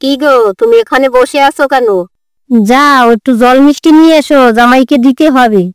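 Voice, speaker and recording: A woman's voice: high-pitched, normal speed, clean tone, dry recording, lightly acted. A woman voicing an older village mother-in-law in a comedy cartoon, doting yet nagging, with music behind. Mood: bossy. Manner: nagging, coaxing.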